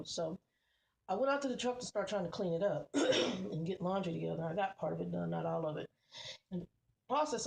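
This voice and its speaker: A woman's voice: lowish voice, gravelly, darkish tone, husky, rough recording. A woman truck driver vlogging in a casual, rambling way, repeating herself and calling her viewers y'all. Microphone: phone.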